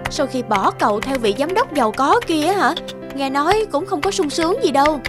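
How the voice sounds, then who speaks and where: A woman voicing a gossipy, spiteful young female classmate in a cartoon, goading and sharp-tongued. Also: sits high, animated, normal speed. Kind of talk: cartoon voice acting. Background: background music.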